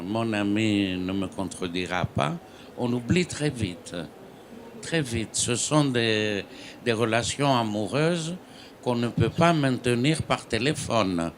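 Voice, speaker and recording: A man's voice: medium pitch, slight background noise. A man who is a native Greek speaker, a writer on a conference panel who talks in a reflective way and often asks for agreement. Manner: slow, reflective, asks "n'est-ce pas".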